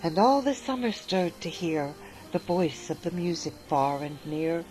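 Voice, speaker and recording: Lady reading a rhyming kids story aloud, slow and lilting, low-ish voice, quiet music behind. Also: poor audio. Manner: lilting, expressive read-aloud delivery. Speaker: narrator.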